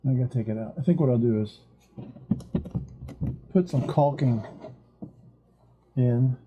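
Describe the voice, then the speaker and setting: A man's voice: mid-range voice, measured pace, dark tone, breathy edge, highs cut off. A man thinking aloud as he works through a boat repair, in casual DIY narration. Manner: thinking aloud while working. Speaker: boat owner doing a repair. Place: on a small boat.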